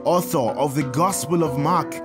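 An actor playing a kindly priest in an audio drama, telling saints' stories in a measured, expressive, instructive way. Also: male voice, a little high, music in the background, lightly acted. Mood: earnest, explanatory. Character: kindly priest, Father Tad, teaching children about saints.

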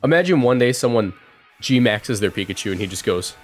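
Casting: male game streamer, twenties, mid-pitched voice, casual and chatty, faint music underneath. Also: expressive, brisk. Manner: chatty, thinks out loud. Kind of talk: casual live game commentary.

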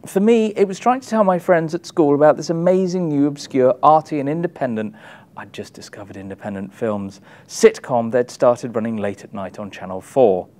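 A man in his thirties giving an expressive, wry reading from his book, with emphatic delivery and dramatic pauses. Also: medium pitch. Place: small indoor room, publisher's backdrop. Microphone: lapel mic.

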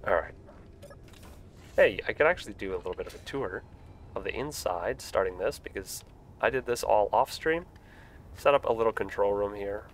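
A man's voice: on the low side, normal speed, long pauses, slight background noise. A young man with a stuffy voice from a cold, chatting casually with lots of fillers while live-streaming a building game. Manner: relaxed, rambling, frequent fillers.

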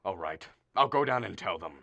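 A man acts out a middle-aged father of the bride in a radio play. He is blustering, sarcastic and argumentative, swinging widely in pitch with a bright, forceful edge, recorded close and dry. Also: animated, fairly quick, creaky, no room sound, full character voice. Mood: exasperated. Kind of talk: stage comedy performed as a radio play.

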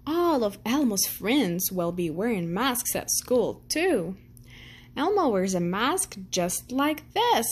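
Breathy, slow woman reading a storybook. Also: on the high side, very animated. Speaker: storytime reader. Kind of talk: children's picture book read-aloud.

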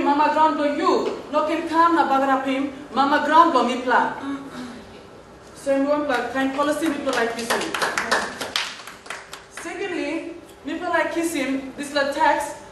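This is a high-voiced woman with a Papua New Guinean accent. She answers formally as a government speaker in a parliament, partly improvising. Her voice rings around a very echoey room, with faint background noise. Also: fairly bright tone. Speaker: government respondent in a practice parliament. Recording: very echoey hall, distant mic, faint noise.

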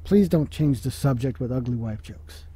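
An actor playing a despondent, guilt-ridden drinker and father in an audio drama, talking in a halting, downcast way. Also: mid-range voice, animated, warm tone, husky. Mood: irritated.

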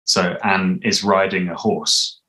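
A man's voice: deep voice, level tone, slow pace, sharp tone. A man in his thirties talking casually and thoughtfully in an interview, hesitating as he explains, on a video call from a room at home. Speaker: audio drama composer, interviewee. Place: small home room, indoors. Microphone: video call, mic at a distance.

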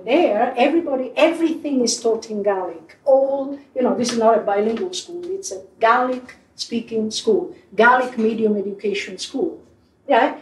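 A woman's voice: a little high, a little mellow. A woman from Sardinia, a professor answering audience questions in an explanatory way, full of "you know" fillers. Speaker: linguistics professor giving a public talk. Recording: echoey room, voice from a distance.

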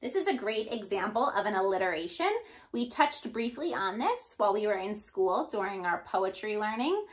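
Animated woman reading to young children. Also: medium pitch, moderate pace. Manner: animated, addressing young children, sounding out rhymes. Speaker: teacher reading aloud to children. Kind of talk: expressive children's storybook read-aloud. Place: small indoor room.

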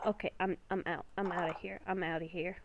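A woman playing a nervous young female werewolf in an improvised Minecraft roleplay, halting and stammering as she talks. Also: fairly low pitch, little pitch movement, creaky, background noise. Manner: halting, stammering, repeats herself. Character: nervous young woman werewolf, hearing ghostly voices at night.

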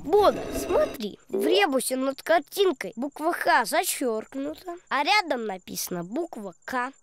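A woman's voice: high voice, very animated, measured pace, dry recording, heavily acted. A woman voicing an eager, insistent little boy who explains things in a children's cartoon.

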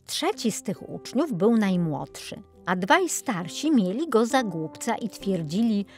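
A woman narrating a children's fairy tale as an expressive audiobook storyteller. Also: medium pitch, measured pace, faint music behind.

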